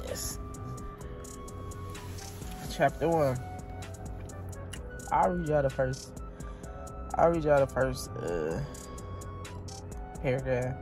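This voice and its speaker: Woman reading aloud haltingly in a car. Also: sits high. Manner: halting read-aloud, stumbles and restarts; chatty, talks directly to viewers. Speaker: vlogger. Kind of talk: casual vlog, reading aloud. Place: inside a car.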